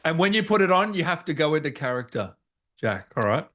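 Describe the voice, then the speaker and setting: A man's voice: fairly high pitch, sing-song, fairly quick, fairly bright tone. A man hosting a casual gaming interview, bantering with his guest and prompting with short questions.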